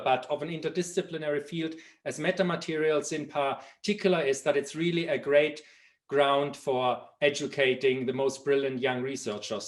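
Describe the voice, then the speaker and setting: A middle-aged man from Germany with a German accent, giving an academic seminar lecture with frequent fillers and restarts. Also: medium pitch. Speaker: professor giving a seminar talk.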